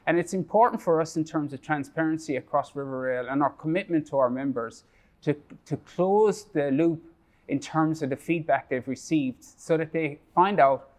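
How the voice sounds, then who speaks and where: Man reading a measured project update. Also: on the high side. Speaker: project staff presenter. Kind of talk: scripted presentation.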